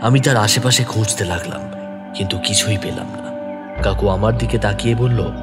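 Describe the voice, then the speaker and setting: A man narrating a detective story in the first person, expressive and deliberate, also speaking the detective's lines. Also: lowish voice, big-room sound, loud music behind the voice. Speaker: narrator who also voices the young detective.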